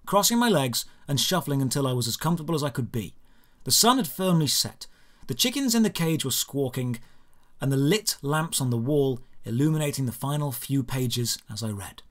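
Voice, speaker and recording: A man narrating a scripted first-person fantasy story in a dramatic, deliberate storytelling manner. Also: mid-range voice, very animated, a bit bright.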